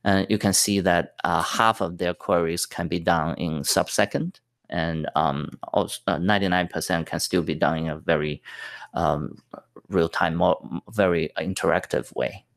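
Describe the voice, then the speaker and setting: A man's voice: low voice, steady tone, moderate pace, no room sound, studio quality. A man giving a technical conference talk over slides, explaining step by step with many fillers and restarts. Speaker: tech presenter.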